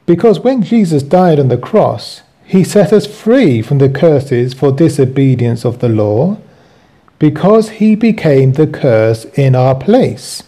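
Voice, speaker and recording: Slow-paced man with a very muffled, mellow tone. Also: a little high, animated. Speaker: host. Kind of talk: reading own written teaching aloud, with asides.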